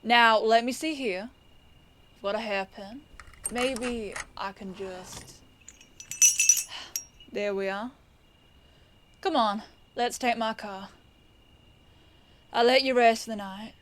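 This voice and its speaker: A woman playing a composed police detective in an audio roleplay, firm yet kindly, with a measured, folksy, knowing delivery. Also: a little high, a bit bright, slight background noise. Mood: soft, consoling.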